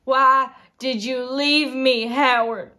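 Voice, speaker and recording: A woman's voice: a little high, fairly even intonation, a little slow, gravelly, fairly bright tone. A woman acting a desperate, tormented young farm wife in an intense dramatic film monologue. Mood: anguished, bitter. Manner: intense, swinging from whispers to anguished outbursts. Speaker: acting student.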